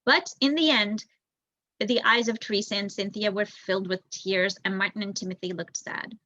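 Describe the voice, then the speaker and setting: A young woman reading a children's story aloud in an expressive narrating style. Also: mid-range voice, moderate pace, dry recording, lo-fi sound. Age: young adult. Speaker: volunteer audiobook reader.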